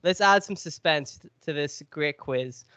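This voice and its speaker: A man's voice: a little high, measured pace, a bit bright, clean tone, no echo. A man with a cold, sniffly, chatting and joking as he hosts a podcast quiz. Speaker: podcast co-host. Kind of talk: casual podcast chat.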